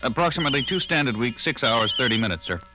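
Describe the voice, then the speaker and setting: A man playing a dutiful junior starship crewman in a 1970s space adventure audio drama, giving crisp replies. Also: medium pitch, lively intonation, fast talker, close to an everyday voice. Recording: echoey 1970s record drama with music.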